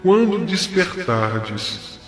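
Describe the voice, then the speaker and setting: Man acting a slow, echoing, solemn spirit mentor. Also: high-pitched, very animated, full character voice. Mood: solemn, reassuring. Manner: solemn, measured, preaching with deliberate pauses. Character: benevolent male spirit mentor, solemn, gentle, fatherly counsellor. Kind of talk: audio drama, exhortation. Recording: echoey long hall reverb, music behind. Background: music.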